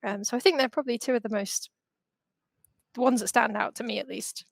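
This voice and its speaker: A woman's voice: a little high, fairly quick, gravelly, no echo, studio quality. A middle-aged woman giving informal, explanatory interview answers on a headset. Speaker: university representative, guest. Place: indoors, video call.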